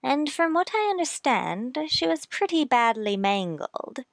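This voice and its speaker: Slow, gossipy small-town matron played by a woman. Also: high voice, very animated, creaky, dry recording, studio quality, full character voice. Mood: matter-of-fact, savoring. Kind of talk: old-time radio play acting.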